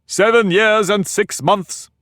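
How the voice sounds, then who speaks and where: Man doing a pompous storybook egg, high, loud, slow, grand. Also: sing-song, no echo, clean studio sound, heavily acted. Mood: lordly. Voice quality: boastful, argumentative, grand. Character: pompous, touchy talking egg, male. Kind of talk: audiobook character reading.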